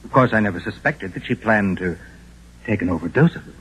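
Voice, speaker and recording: An actor playing a cool, calculating middle-aged husband with a terse, dryly patient manner in a 1950s radio drama. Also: male voice, on the low side, expressive, fairly quick, fairly bright tone, slightly rough, heavily acted. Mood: calculating, leading. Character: cool, calculating greying husband, detached murderer. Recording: muffled 1950s radio recording.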